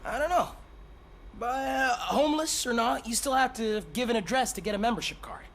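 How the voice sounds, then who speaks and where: Very high-voiced hesitant store worker. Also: male voice, fairly quick, fairly bright tone. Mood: agitated, helpful. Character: hesitant, helpful male video store worker. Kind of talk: video game cutscene acting.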